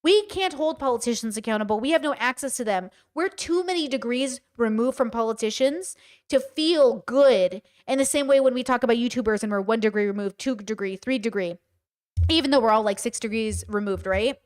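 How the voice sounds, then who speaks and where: A woman's voice: on the high side, a little fast. A woman in her thirties streaming live, talking candidly to her chat with frequent swearing and rhetorical questions. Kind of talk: livestream commentary, reading chat.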